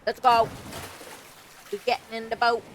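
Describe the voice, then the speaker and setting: Expressive, sweary woman streamer, faint noise. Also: on the high side, unhurried, low-quality recording. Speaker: gaming streamer. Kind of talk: casual let's-play commentary.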